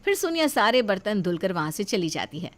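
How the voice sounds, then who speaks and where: A woman's voice: mid-range voice, very animated, brisk. A woman narrating a scripted moral story as a storyteller.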